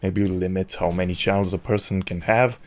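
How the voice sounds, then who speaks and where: A man's voice: sits low, steady tone, brisk, slight background noise. A man speaking candidly and with opinions, in a personal vlog monologue to his subscribers as a YouTuber. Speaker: YouTuber who makes game videos.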